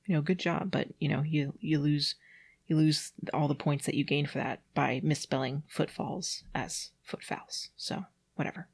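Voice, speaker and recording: A reader of unclear sex with a noticeably creaky, mid-pitched voice. They read prose aloud in the manner of an amateur and comment on it with giggly, incredulous nitpicking. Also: steady tone, normal speed. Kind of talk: reading prose aloud with mocking asides.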